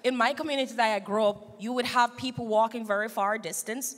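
High-voiced woman in a reverberant hall. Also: fairly even intonation, a little fast, fairly bright tone. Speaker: Sabbath school host. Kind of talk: Bible study panel discussion. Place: large church stage, indoors. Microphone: handheld microphone. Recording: reverberant church hall sound.

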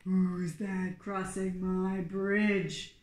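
A woman voicing a big hairy, hungry male bridge troll in a storybook, roaring and chanting drawn-out threats. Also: mid-range voice, flat delivery, a little mellow, reverberant, pitched below the performer's own voice. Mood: menacing.

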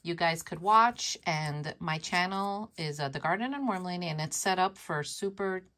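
A woman's voice: fairly low pitch, normal speed, a bit bright. Chatty woman, Spanish her first language. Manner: chatty, repeats herself, laughs at herself. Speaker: worm composting channel host. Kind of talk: casual show-and-tell vlog. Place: indoor room at home with worm bin.

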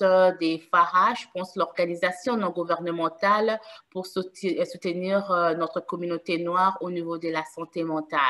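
A woman's voice: lowish voice, a bit bright. Hesitant woman hosting a video-call panel. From Congo. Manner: frequent filler hesitations. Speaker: panel host. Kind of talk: hesitant moderated panel talk.

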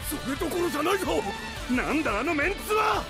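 Excited young anime pirate, high. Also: male voice, racing. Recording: game audio with loud music. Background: loud game music.

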